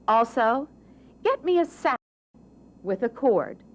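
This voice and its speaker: A woman's voice: on the high side, sing-song, takes it slowly. A woman voicing a clever, cheerful and polite talking male cat from a storybook. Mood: cheerful, confident. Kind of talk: storybook character reading.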